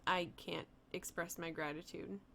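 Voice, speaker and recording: Earnest young fisherman, read by a woman, slow and sincere, storybook. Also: fairly low pitch, steady tone, vocal fry, fairly bright tone, a little hiss or hum. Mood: grateful. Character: grateful young male fisherman.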